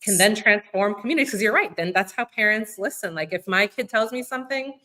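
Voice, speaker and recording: A woman's voice: medium pitch, lively intonation, a little fast, bright, forceful tone, low-quality recording. A woman who leads a youth organization, talking casually in a panel discussion and thinking aloud with lots of "like" fillers.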